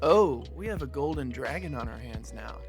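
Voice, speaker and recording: A man plays a young game master in an audio drama, stubborn and given to theatrical hosting. His voice sits at a middling pitch, with music playing underneath. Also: expressive, moderate pace, natural voice. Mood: theatrical, playful. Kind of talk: naturalistic audio drama dialogue.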